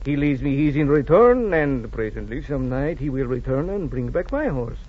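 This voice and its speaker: Excitable, hesitant ranchero husband. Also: male voice, mid-range voice, normal speed, slightly rough. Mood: sheepish, defensive. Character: easygoing, timid Californio ranchero husband. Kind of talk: 1940s radio acting. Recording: muffled vintage radio recording.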